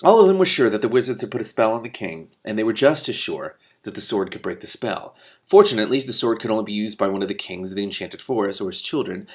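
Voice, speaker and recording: A man reads a storybook aloud at a quick clip. His voice sits a little on the low side, and he works as both reader and commentator. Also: animated. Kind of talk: expressive book read-aloud with off-script commentary.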